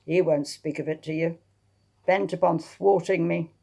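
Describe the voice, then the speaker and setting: An imperious old dowager as played by a woman reader from a historical novel. Her voice is husky and full of gravel. She barks her scorn like someone used to ruling a whole family. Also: on the low side, fairly even intonation, moderate pace, mellow. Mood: scornful, commanding. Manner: barking, domineering, contemptuous. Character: imperious, scornful elderly aristocratic matriarch. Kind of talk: dramatised historical novel reading.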